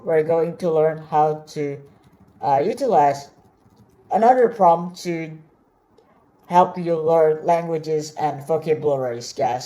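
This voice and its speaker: A woman from Indonesia, speaking English as a second language, walks viewers through a tutorial on screen. Her voice sits low and mellow, and she talks at an easy, slow pace, filling gaps with "um" and checking in with "all right?". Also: poor audio. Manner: casual, many fillers and "all right?" check-ins. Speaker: online course instructor.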